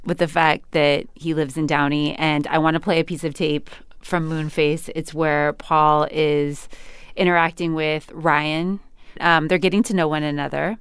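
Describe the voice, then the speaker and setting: A low female voice, very clear and smooth, in a dry studio-close sound. She is an interviewer who lays out questions and context deliberately, in short measured phrases. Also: flat delivery, no room sound. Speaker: radio interviewer and host.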